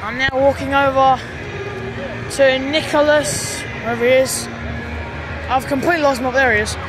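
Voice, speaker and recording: A teenage boy with a hoarse, worn-out voice, excitedly vlogging and cheering at a football game. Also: very high voice, normal speed, poor audio. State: hoarse, voice lost. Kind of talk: casual vlog commentary. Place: packed outdoor football stadium. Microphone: handheld camera. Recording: loud crowd noise and music. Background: crowd cheering.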